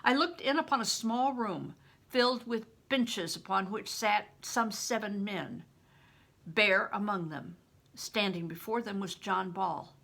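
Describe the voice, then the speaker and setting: An older woman reading a children's novel aloud as a storyteller, with an expressive, dramatic and emphatic delivery. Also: mid-range voice, unhurried, gravelly. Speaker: retired reading teacher, storyteller.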